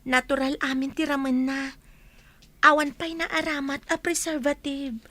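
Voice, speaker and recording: Young herb healer girl, really high voice, earnest, dry studio sound, radio drama. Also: flat delivery, a little slow, a bit bright, no room sound. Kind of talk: Ilocano radio drama acting.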